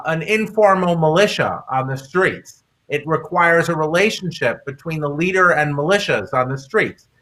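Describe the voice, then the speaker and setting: A man with a fairly high, bright and forceful voice talks as a guest in a public discussion. He explains his argument in a halting way, doubling back on words as he goes. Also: poor audio. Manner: halting, hesitant delivery with repeated words. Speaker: guest author and speaker.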